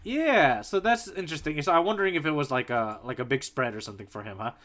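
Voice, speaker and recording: A man with a slightly high, bright and forceful voice in a casual podcast chat. He co-hosts conversationally, paraphrasing in an animated way as he explains his points. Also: fast. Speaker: podcast co-host. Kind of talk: casual chat, manga discussion.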